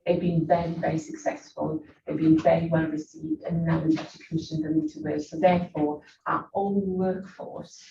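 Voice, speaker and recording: A woman's voice: on the low side, fairly quick, creaky, hardly any pauses, crowd noise. A woman executive answering a board's questions in a formal meeting, leaning on "actually" and "very" as fillers. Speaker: executive presenting a report to the board. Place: meeting room. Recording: distant echoey muffled mic with room noise.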